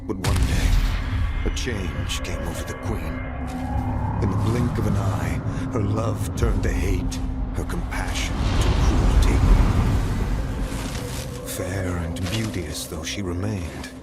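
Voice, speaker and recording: A grave game storyteller, low-voiced and unhurried, telling dark legends with solemn, portentous weight. Loud music plays behind him. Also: male voice. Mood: solemn storytelling. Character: grave, ominous male storyteller warning of doom. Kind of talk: slow, theatrical fairy-tale narration.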